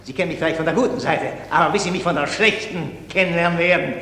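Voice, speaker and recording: An actor in a German radio drama playing an irritable, self-important lieutenant, bullying and insistent. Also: male voice, fairly high pitch, fast talker, pushed and bright. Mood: menacing, exasperated. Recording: echoey hall with long reverb.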